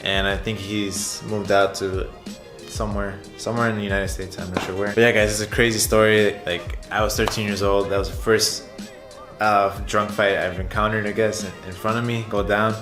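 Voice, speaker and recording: A young man with a lowish voice chats casually to his viewers like a vlogger telling a story. He is animated and informal. The room is noticeably echoey, with faint music underneath. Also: moderate pace. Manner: animated retelling, chuckles often, addresses viewers as guys. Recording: roomy echo, music underneath.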